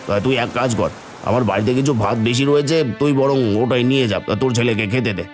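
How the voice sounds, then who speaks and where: A man plays a proud, tight-fisted schoolteacher in a cartoon village drama. The voice sits at an ordinary male pitch, delivered as dramatic dialogue. Soft music runs behind it. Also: fast, lightly acted. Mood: haughty, dismissive. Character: proud, stingy schoolteacher friend, later ruined. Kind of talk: animated Bengali moral story dialogue.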